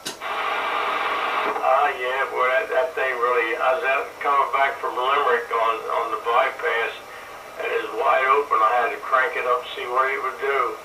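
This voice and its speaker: A man's voice: mid-range voice, level tone, normal speed. Older American man on noisy CB radio. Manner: rambling, hesitant. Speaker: CB radio operator. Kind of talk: casual CB radio chat. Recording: roomy, noisy CB radio transmission, distant.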